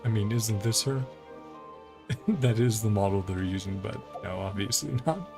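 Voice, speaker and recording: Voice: medium pitch, moderate pace. A streamer giving casual game commentary in short, offhand fragments, reading and paraphrasing dialogue along the way. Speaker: streamer playing a video game. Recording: game music behind the voice. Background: video game music.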